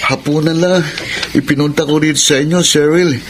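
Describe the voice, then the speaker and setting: An actor playing an easygoing, hesitant young boyfriend in a Filipino radio drama, with a Filipino accent. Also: male voice, a little high, fairly even intonation, moderate pace, heavy background noise, natural voice. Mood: friendly.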